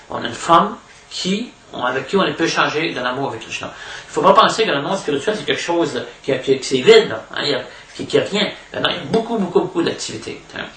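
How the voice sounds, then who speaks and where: A man with a Québécois French accent giving an informal spiritual lecture as a devotional preacher, haltingly, repeating words and adding little question tags. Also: on the high side, gravelly, sharp tone. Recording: echoey room, distant mic, faint noise.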